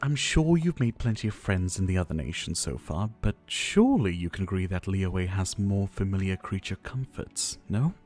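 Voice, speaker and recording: Male actor as devoted, ailing pharmacist for ASMR roleplay; lowish register, soft, courteous, wistfully theatrical delivery over background music. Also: animated, normal speed, hardly any pauses. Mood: warm, playful. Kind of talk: ASMR roleplay addressed to the listener.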